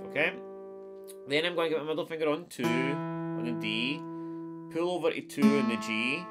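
A man in his forties with a stuffed-up nose, giving a relaxed step-by-step guitar lesson from a small wood-paneled room. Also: on the high side, moderate pace. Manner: friendly, explains step by step with counts. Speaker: guitar teacher. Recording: acoustic guitar playing throughout.

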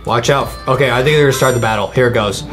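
A young American man giving excitable, playful, slangy reaction commentary as a gaming video host, often repeating his phrases. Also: medium pitch, racing. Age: young adult. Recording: music bed behind the voice.

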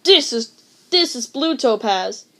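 A woman's voice: on the high side, animated, slow pace, fairly bright tone. A woman recording a casual voice demo, rambling and hesitant, often losing her train of thought and poking fun at herself. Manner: rambling, hesitant and self-deprecating. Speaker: amateur voice actor.

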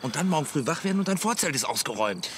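Urgent, fast-talking night-watch camper. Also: male voice, fairly high pitch, big pitch swings, background noise. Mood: nagging. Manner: urgent, nagging. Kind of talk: German comedy radio play acting.

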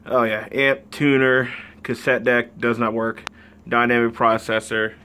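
A man giving a casual filmed tour of his collection, listing items slowly and offhandedly with many fillers, recorded on a handheld phone in a small wood-panelled room. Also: medium pitch, level tone, sharp tone, slight background noise. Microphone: phone camera held while walking.

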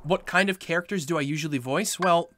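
A young man with a light tenor voice chatting casually to his live-stream viewers while playing, answering questions and reacting with excited outbursts. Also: a little high, fairly quick, a little hiss or hum. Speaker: let's play streamer. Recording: game soundtrack loud underneath. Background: loud game music.

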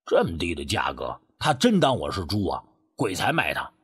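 A man's voice: low-pitched, wildly swooping pitch, moderate pace, fairly bright tone, slightly rough, heavily acted. A man playing a sly, sheepish rural man in an audiobook, stammering and wheedling as he angles for money. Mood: wheedling, insistent. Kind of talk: acted audiobook dialogue.

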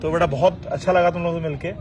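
Young man, high voice, outdoor street vlog. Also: fairly even intonation, fast talker, darkish tone. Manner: friendly, laughs often, earnest when addressing viewers. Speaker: vlogger. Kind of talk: casual street vlog chat.

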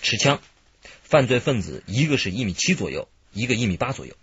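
An actor playing an eager, friendly young police computer investigator in a radio drama. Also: male voice, medium pitch, sing-song, fairly quick, fairly bright tone, husky, no echo, lo-fi sound, heavily acted. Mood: eager, thoughtful. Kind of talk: Chinese radio drama acting.

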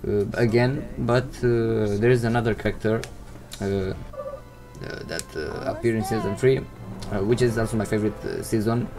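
A teenage boy from Romania talks into a desk mic in a home room, answering viewer questions in halting, non-native English. He fills his pauses with steady "uh"s as he finds his words. Soft music plays faintly underneath. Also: lowish voice. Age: teens. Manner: hesitant, many uh fillers, non-native English phrasing. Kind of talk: casual Q&A vlog. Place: indoors at home, seated in gaming chair. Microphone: desk microphone.

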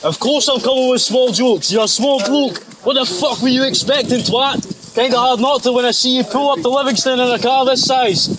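Young Scottish man mocking in a rap battle. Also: extremely high pitch. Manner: mocking, insulting, laughs at own punchlines. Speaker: battle rapper. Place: outdoors at night in a crowd. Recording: noisy outdoor crowd recording. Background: crowd.

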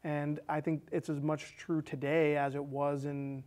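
A man's voice: a little high, little pitch movement, unhurried, darkish tone. A man in his thirties discussing film casually as a panelist, on a lapel mic in a small studio. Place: small indoor studio set with curtains.

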